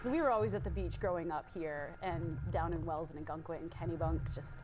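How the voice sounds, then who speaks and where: A woman's voice: fairly low pitch, brisk, noisy background. A woman from Maine, a free diver, telling her story in a casual interview, chatty and rambling with many fillers. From Maine, USA.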